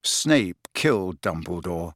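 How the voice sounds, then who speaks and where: A man's voice: deep voice, very animated, slow pace, dry recording, pitched below the performer's own voice, heavily acted. Guy doing a bitter teen boy in an audiobook, grim, pretty dry close sound. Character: grim, bitter teenage boy demanding answers.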